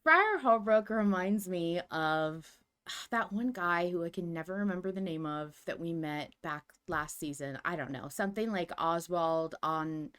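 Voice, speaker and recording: A woman's voice: on the low side, a little slow, fairly bright tone, smooth. Woman podcast host, chatty and kinda rambly, gets emphatic about stuff. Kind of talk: casual discussion of a fiction podcast.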